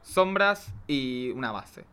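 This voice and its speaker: A man's voice: medium pitch, sing-song, slow pace, sharp tone, smooth. A man with an Argentine accent, chatting casually as a drag queen guest, effusive and campy. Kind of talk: casual chat.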